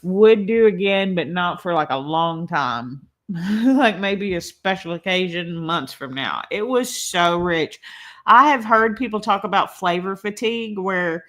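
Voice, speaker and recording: A woman's voice: on the low side, animated, a little slow, a bit bright. A woman vlogger chatting casually on a live stream, rambling and laughing often, a little congested. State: slightly congested. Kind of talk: casual live-stream chat while building a toy.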